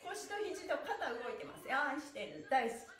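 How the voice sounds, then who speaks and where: High, animated woman coaching stretches. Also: normal speed. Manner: cheerful, animated, polite and playful coaching. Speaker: stretching instructor and pantomime performer. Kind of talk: live-streamed exercise class, counting and chatting. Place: small room indoors, on an exercise mat. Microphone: camera or phone a short distance away. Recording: roomy, echoey distant device mic.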